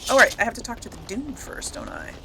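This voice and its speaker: A woman's voice: a little high, expressive, fast talker, noisy background. A woman giving casual, chatty commentary as she plays through a video game. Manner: chatty, informal. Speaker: gamer, let's player.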